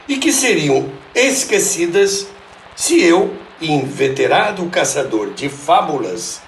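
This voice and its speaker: A man with a Brazilian Portuguese accent narrating scripted stories in a theatrical, deliberate way as a show host. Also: a little high, a little hiss or hum. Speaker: host and storyteller of a radio history show.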